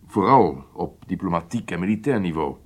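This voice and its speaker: Secret service boss in a radio drama, lowish voice, deliberate, lots of intonation. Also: male voice, lively intonation, breathy edge. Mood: grave, confiding. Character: weighty, deliberate Israeli secret service chief.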